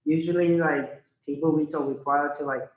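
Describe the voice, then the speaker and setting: A man's voice: on the high side, fairly even intonation, moderate pace. Guy making a casual comment, kinda hesitant, echoey room, muffled sound. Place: indoor live event room.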